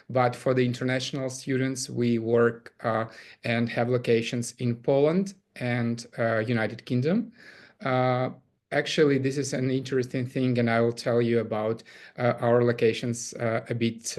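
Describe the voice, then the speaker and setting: Halting Ukrainian-accented man, flat delivery. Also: medium pitch. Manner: hesitant, many filler pauses. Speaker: camp CEO presenting. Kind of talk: webinar presentation.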